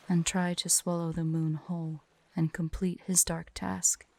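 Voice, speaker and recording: A woman plays a proud, wistful she-dragon guarding her hoard in an ASMR roleplay. Her voice is mellow and slightly low, and she speaks very slowly in formal, measured phrases that sink into breathy hush. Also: no echo. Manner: formal, measured storytelling with breathy hushed drops. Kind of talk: ASMR roleplay with slow myth storytelling.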